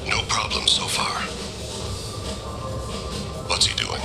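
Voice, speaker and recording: An actor playing a calm, solemn alien assassin for a video game, speaking in a hushed, terse, measured way with a gravelly, breathy near-whisper. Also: male voice, music in the background, close to an everyday voice. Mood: focused, hushed.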